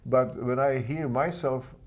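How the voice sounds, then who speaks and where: Czech-accented male guest, earnest storyteller, non-native phrasing, average pitch. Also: steady tone, unhurried. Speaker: guest author. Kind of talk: interview storytelling.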